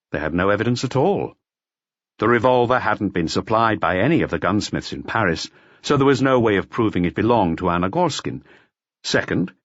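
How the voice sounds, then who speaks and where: A man reading a detective novel aloud as an expressive audiobook narrator. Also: on the low side, no echo, highs cut off.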